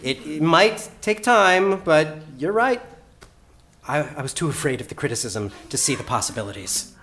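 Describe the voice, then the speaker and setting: A man's voice: fairly high pitch, moderate pace, a bit bright. An actor playing an earnest, anxious, eager-to-please tech employee in a satirical audio drama, hesitant in his delivery. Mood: earnest, won over.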